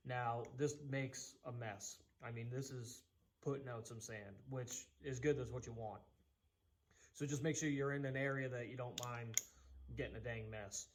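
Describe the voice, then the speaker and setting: A man's voice: mid-range voice, steady tone, moderate pace, slight background noise. A man with a Boston accent giving a casual, chatty how-to demonstration in his home workshop, with loose folksy asides. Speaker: DIY woodworking channel host.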